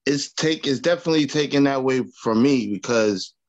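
Raspy new guy chatting easy in an interview, kinda muffled lo-fi sound. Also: mid-range voice, normal speed. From Long Island, New York. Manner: loose and chatty, full of "you know what I mean" fillers. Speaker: rapper guest. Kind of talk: casual interview chat. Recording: dull lo-fi sound.

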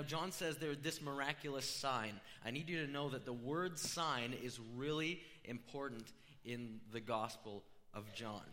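A man gives an informal, conversational sermon in a forceful, bright voice that is slightly rough from being under the weather. He explains things step by step like a storyteller, and his words ring through a large reverberant hall. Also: mid-range voice. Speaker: pastor preaching a sermon. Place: large room, amplified before a congregation.

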